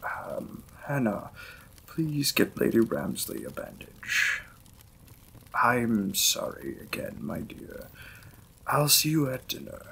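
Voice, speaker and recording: A man playing a gentle, apologetic Victorian vampire lord in a romantic audio roleplay, speaking formally and tenderly as a courteous new husband. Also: mid-range voice, wildly swooping pitch, slow, creaky, a little hiss or hum, heavily acted, poor audio. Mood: apologetic, polite.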